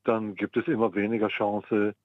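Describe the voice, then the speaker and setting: An older man from the Mandate of Palestine, speaking as a historian in an interview, measured and pausing often with ums. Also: fairly low pitch, flat delivery, no room sound. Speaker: guest historian. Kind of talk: interview answers. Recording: tinny phone line, dry.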